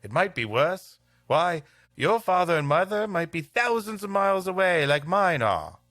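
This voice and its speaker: A man's voice: sits high, sing-song, moderate pace, fairly bright tone, dry recording, clean studio sound, soft background music, pitched above the performer's own voice. A man voicing a lonely, kind young schoolboy in a storybook tale, steadily consoling his friend.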